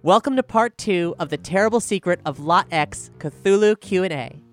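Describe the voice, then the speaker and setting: A woman's voice: lowish voice, lively intonation, normal speed, soft background music. A woman hosting as showrunner, introducing and chatting about the series. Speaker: showrunner and host. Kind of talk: scripted intro and casual discussion.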